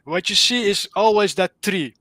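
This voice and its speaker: Dutch-accented male caller, fairly high and bright, dry close sound, earnest and groping for words. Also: normal speed, dry recording. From Netherlands. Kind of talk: casual explanation on a live stream.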